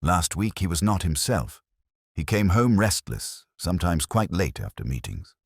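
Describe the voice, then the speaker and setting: A man's voice: deep voice, animated, moderate pace, creaky, husky, dry recording, clean studio sound, full character voice. A man voicing a rigid, dignified, guarded widow in a mystery story, with level, clipped, formal speech. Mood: candid, guarded.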